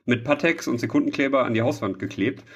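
A man's voice: medium pitch, fast talker, slightly rough. Lo-fi sounding man co-hosting a podcast. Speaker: podcast co-host. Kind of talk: casual banter between friends. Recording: dull lo-fi sound.

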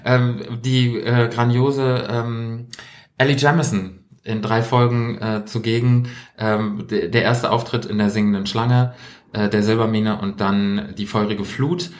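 A man's voice: mid-range voice, level tone, measured pace. A man co-hosting a podcast, chatting casually and playfully quizzing his guests. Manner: playful, teasing quizmaster. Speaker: podcast co-host.

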